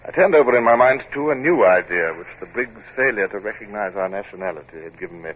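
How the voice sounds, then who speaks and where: Clipped British naval captain, muffled radio. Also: male voice, on the low side, animated, fast. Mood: reflective. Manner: clipped, formal, commanding. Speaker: lead actor and first-person narrator. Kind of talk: radio drama acting with narration. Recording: muffled, noisy vintage radio recording.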